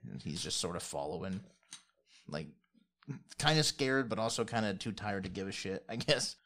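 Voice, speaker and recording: Male game master, low forceful voice, casual improvised narration, colloquial. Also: big pitch swings, normal speed. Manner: colloquial, loosely descriptive.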